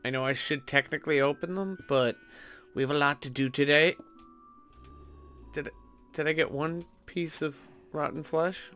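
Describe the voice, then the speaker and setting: A man's voice: a little high, fairly even intonation, moderate pace, pauses often, pushed and bright. A man hosting a gaming video with casual, playful, chatty commentary in an off-the-cuff way. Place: indoor voice-over recording over gameplay. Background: background music.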